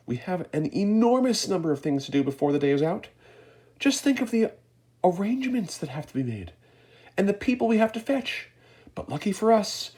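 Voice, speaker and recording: An eccentric storybook candy maker full of bubbly glee, put on by a man. The voice sits high above a normal speaking register and leaps all over with theatrical excitement. A slightly husky, mellow tone runs under it. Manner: bubbly, theatrical, rapid exclamations.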